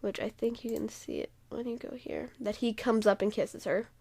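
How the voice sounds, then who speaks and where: A woman's voice: a little high, steady tone, moderate pace, mellow, slightly rough. A woman talking casually and unscripted as she walks viewers through a video, rambling a little and often trailing off. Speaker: video creator explaining own roleplay series. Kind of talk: casual unscripted walkthrough commentary.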